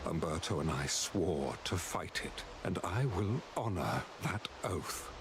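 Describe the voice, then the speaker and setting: An actor playing a stern, resolute knight in a video game, speaking formally and earnestly. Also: male voice, low voice, expressive, slow, exaggerated voice. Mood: solemn, resolute. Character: stern, resolute male knight sworn to an oath. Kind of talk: scripted video game dialogue. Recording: noisy game ambience behind dialogue.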